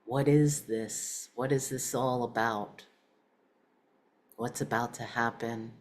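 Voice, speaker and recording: A woman leading a guided meditation, speaking in short, measured phrases with pauses between them. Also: extremely low pitch, fairly even intonation, slight background noise. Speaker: meditation teacher.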